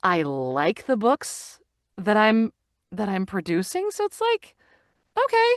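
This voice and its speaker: A middle-aged woman, a voice actor and audiobook narrator, chatting in an interview with lively enthusiasm and many restarts. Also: mid-range voice, slow pace, no room sound, studio quality. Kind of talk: casual interview chat. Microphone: studio microphone.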